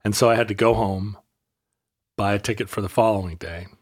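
A man's voice: fairly low pitch, steady tone, fairly quick. A man from Alaska telling a long, rambling anecdote in casual conversation, full of restarts and wry asides. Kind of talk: casual chat, storytelling.

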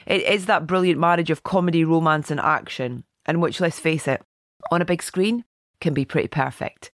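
A middle-aged woman hosting a film podcast, chatty and a bit croaky, reading out a listener's email. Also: sits low, dry recording, studio quality. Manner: chatty, warm asides, reads listener email. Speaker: podcast host. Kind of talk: casual podcast chat.

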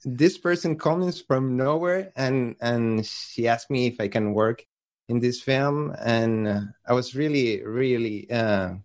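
A Venezuelan man whose first language is Spanish speaks English with a Spanish accent in a podcast interview. His voice sits at a middling pitch. He talks hesitantly, with many restarts as he searches for words. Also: takes it slowly. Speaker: film composer.

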